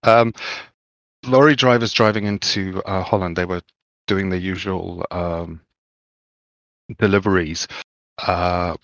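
A man from Zimbabwe, co-hosting a podcast, talks in a halting, thoughtful way and often repeats his words. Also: deep voice, steady tone, a bit bright, no echo. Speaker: podcast co-host. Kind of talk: casual chat.

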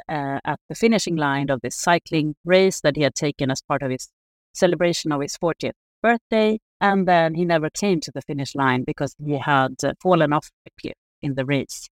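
A middle-aged woman from Sweden, a sustainability researcher, answering interview questions in a soft, measured way with many fillers. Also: on the low side, smooth, no echo.

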